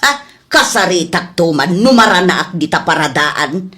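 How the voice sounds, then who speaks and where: A woman's voice: fairly low pitch, expressive, normal speed, bright, forceful tone. A woman playing a protective, exasperated mother of a grown son in a comedy drama, with an Ilocano accent. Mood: concerned.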